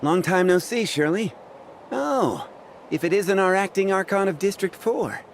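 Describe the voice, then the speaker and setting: A man playing a smug, teasing gentleman in an anime game, with sarcastic politeness. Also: sits high, a little slow, slight background noise. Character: smug, teasing male acquaintance, theatrically genteel. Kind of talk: scripted anime game dialogue.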